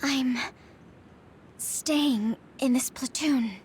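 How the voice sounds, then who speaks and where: A solemn young female soldier in a video game. She is soft-spoken, with a breathy, hushed voice and quiet resolve. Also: sits high, steady tone, extremely slow, slight background noise. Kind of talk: video game cutscene acting.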